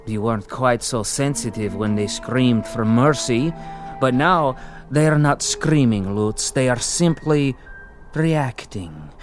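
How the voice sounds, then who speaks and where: An actor playing a calm, relentless accuser in a radio drama, speaking in a sardonic, deliberate manner. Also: male voice, mid-range voice, music in the background, natural voice. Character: calm, relentless accuser haunting a former camp captain.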